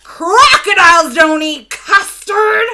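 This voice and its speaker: A woman voicing a fierce male crocodile in a storybook, with loud, emphatic declarations. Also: very high-pitched, slow, voice pushed up, full character voice. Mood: furious, shouting. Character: fierce male crocodile demanding his dinner.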